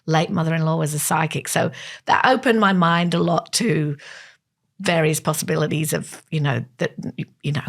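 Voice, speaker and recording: A woman co-host talking loosely and openly on a podcast, often restarting her sentences. Her voice is lowish with a gravelly fry running through it. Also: moderate pace. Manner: chatty, musing, self-correcting. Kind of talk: casual podcast chat.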